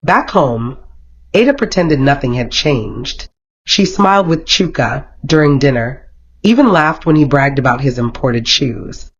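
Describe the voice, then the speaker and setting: Folktale narrator, medium pitch, expressive and dramatic, scripted romance story, dull lo-fi recording. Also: measured pace, breathy edge. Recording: dull, lo-fi sound.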